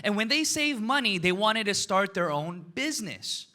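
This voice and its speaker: A man's voice: high-pitched, big pitch swings, normal speed, bright, forceful tone. A man, giving a motivational seminar talk, asking the audience questions and tagging his points with "right?" and "okay?". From United States. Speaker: motivational speaker.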